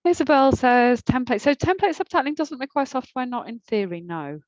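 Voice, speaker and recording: A woman's voice: sits high, expressive, moderate pace, no echo, dull audio. A woman with a slight accent, answering audience questions as a subtitling trainer in a webinar, with many ums and restarts. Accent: slight non-native accent.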